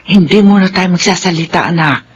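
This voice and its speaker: A woman's voice: lowish voice, a little fast, natural voice. A woman acting as a devoted, long-suffering middle-aged widowed nanny and mother in a Filipino radio drama. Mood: earnest, resigned. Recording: dull, noisy radio drama recording.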